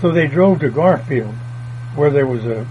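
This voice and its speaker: A man's voice: fairly high pitch, lively intonation, moderate pace. An older man, telling family history in a folksy, meandering way. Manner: folksy, meandering, plain country grammar. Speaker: oral history interviewee. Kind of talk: rambling family reminiscence. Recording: dull lo-fi tape with mains hum.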